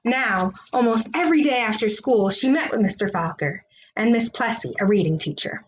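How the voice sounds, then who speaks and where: Higher-voiced woman reading a children's story. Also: lively intonation, moderate pace, background noise. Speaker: storybook reader. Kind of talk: children's story read-aloud.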